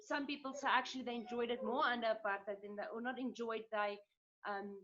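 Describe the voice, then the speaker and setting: A woman's voice: on the high side, steady tone, brisk, fairly bright tone. A woman in her thirties interviewing a guest, talking in a thoughtful, conversational way over an online call. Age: 30s. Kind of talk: casual conversation. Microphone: video call. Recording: dull lo-fi call audio.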